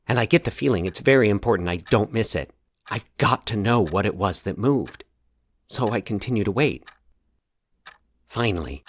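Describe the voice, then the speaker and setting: A man voicing an anxious, halting man confessing a recurring nightmare in a horror audio story. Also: on the low side, no echo. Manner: nervous, halting, stumbling over his words. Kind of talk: dramatized horror audio fiction.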